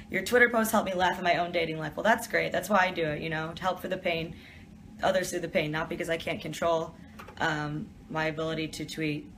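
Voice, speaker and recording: A woman's voice: lowish voice, fairly bright tone, a little hiss or hum. A young woman from Detroit, chatting casually as she reads out viewer questions and answers them in an office during a live stream. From Detroit, USA.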